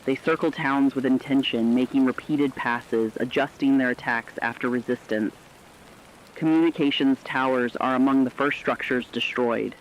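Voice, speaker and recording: A man playing a somber radio correspondent, reading a scripted disaster report in a serious, deliberate, ominous way. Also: medium pitch, muffled recording, slight background noise, close to an everyday voice. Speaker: news correspondent.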